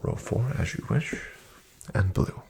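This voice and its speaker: A man playing a courteous, formal casino dealer in a soft-spoken ASMR roleplay, hushed, polite and measured. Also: natural voice. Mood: measured, calm. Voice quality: soft, hushed, breathy-edged delivery. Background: card shuffling and clicking.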